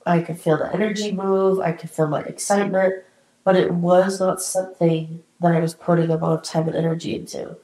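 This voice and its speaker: A woman's voice: on the low side, fairly even intonation, brisk, warm tone, roomy sound, low-quality recording. A young adult woman hosting her own podcast, talking alone in a candid, encouraging way with some casual swearing, her nose a little congested. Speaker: podcast host. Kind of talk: solo reflective podcast talk.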